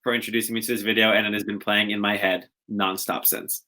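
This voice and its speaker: Young guy cohosting a podcast, bright voice, rambles and cracks jokes. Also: fairly low pitch, little pitch movement, quick pace. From America, later lived in Israel. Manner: rambling, joking, self-interrupting. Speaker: podcast co-host. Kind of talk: casual chat.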